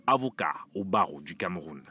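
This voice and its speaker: A man reporter reading a scripted news voice-over in steady reporter narration. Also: low voice, brisk, a little hiss or hum.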